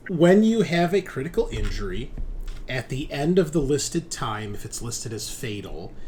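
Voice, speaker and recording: A man running a tabletop roleplaying game, narrating and explaining rules in a halting, think-aloud way. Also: medium pitch, darkish tone. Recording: clear background noise.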